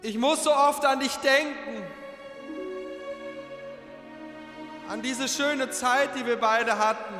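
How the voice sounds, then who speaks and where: Lovelorn man for radio drama: very high, earnest, pleading, in a long reverb. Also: steady tone, unhurried, over music. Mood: wistful. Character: emotional lovelorn man calling out to his beloved. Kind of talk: radio play acting.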